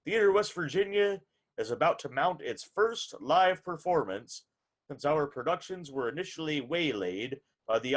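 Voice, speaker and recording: A man's voice: fairly high pitch, poor audio. A man hosting a radio hour, with a formal announcer's delivery for introductions and interview questions.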